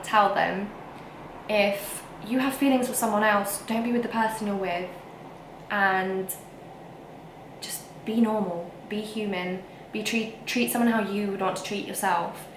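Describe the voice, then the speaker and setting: A woman's voice: medium pitch, echoey room, background noise. A young woman with a whiny voice, ranting frankly to camera in a casual vlog. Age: young adult. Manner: emphatic and frank, with frequent fillers. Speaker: vlogger.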